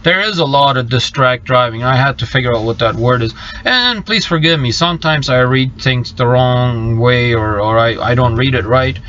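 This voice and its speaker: Halting Low man on a lo-fi live stream. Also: a bit bright, slight background noise. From Bolivia. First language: Low German. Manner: halting, many fillers and restarts. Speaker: trucker vlogger reading live chat. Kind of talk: casual live stream chat. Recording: slightly muffled lo-fi live stream.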